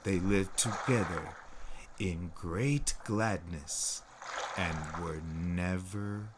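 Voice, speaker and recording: A man reading a folktale aloud in a measured, dramatic way with deliberate pauses, over music. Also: sits low, noisy background. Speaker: storyteller. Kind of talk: dramatic read-aloud storytelling.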